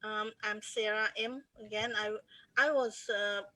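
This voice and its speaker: A woman's voice: mid-range voice, steady tone, unhurried, bright, forceful tone, no room sound, low-quality recording. A woman in her fifties with a Cambodian accent, introducing herself earnestly on a video call. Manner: earnest, hesitant with fillers. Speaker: co-host.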